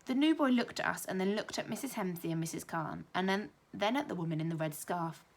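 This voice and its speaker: A young woman reads a children's novel aloud. Her teacher's storytelling voice is lively and expressive. She lifts it higher and brighter whenever the young characters talk. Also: fairly quick. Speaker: primary school teacher.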